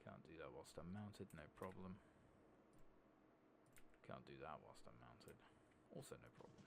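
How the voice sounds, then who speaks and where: Deep, gravelly soft-spoken man. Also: lively intonation, unhurried, noisy background. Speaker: host of a gaming ASMR playthrough. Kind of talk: soft-spoken ASMR game commentary with some whispering. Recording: keyboard typing and mouse clicks behind.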